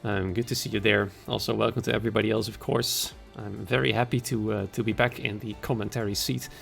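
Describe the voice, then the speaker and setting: A man commentating a sim racing league stream in a chatty way, his voice hoarse and raspy after an illness. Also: fairly low pitch, fairly even intonation, fast, a bit bright, faint music behind. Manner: chatty, conversational, greets viewers by name. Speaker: race commentator and league host.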